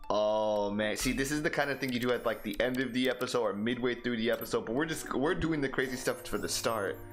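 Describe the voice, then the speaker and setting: A man in his twenties hosting a let's play, with energetic, goofy gameplay commentary. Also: medium pitch. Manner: energetic, goofy, quick reactions. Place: small home room. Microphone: studio mic on a boom stand. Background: game music.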